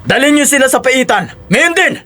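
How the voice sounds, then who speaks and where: Audio drama lawman, male actor: high-pitched, formal, authoritative constabulary officer, faint music bed. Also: animated, moderate pace, sharp tone, full character voice. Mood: commanding. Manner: commanding, formal.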